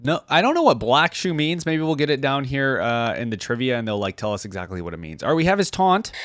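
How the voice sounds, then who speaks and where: A man's voice: medium pitch, very animated, fast, a bit bright, no room sound. Dry close-sounding man hosting a casual reaction. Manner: conversational, thinking aloud. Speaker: YouTube reaction host. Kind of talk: casual reaction commentary with reading aloud.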